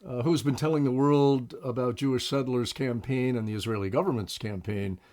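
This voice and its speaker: A man hosting an interview, introducing his guest and asking measured, earnest questions. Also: mid-range voice, fairly even intonation, a little mellow. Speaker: interviewer, co-host.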